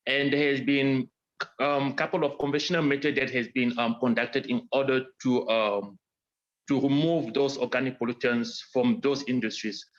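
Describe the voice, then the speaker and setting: A man from Cameroon giving a research talk, pausing often with ums and restarting his phrases. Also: medium pitch, fairly even intonation. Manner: hesitant, many ums and restarts. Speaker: doctoral student presenter. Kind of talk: research presentation and Q&A. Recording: dull lo-fi sound.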